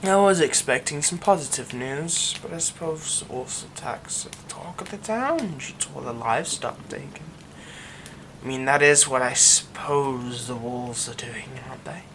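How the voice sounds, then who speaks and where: A man voicing a grieving, reclusive young man in an ASMR audio roleplay, speaking in soft half-whispers that break into bitter, scornful outbursts. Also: medium pitch, moderate pace, slight background noise, lightly acted. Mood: hushed, wistful.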